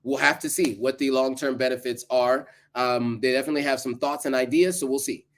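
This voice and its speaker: A man's voice: mid-range voice, fairly even intonation, a little fast. Chatty man hosting a live stream. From United States. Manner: conversational, many ums and restarts. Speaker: livestream host. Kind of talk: live presentation reading viewer comments.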